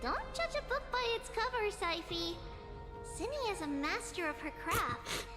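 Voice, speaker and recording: Very high-pitched little game girl. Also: sing-song, measured pace, exaggerated voice. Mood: fond, teasing. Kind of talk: voice acting for an anime-style game. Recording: reverberant game audio with background music.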